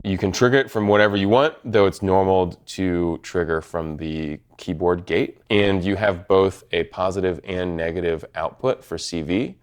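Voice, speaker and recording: Brief: male product demonstrator, deep register, methodical step-by-step explanation, synthesizer sounds faintly behind. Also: fairly even intonation, moderate pace, few pauses, faint music behind. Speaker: product presenter from a synth maker. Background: synthesizer tones.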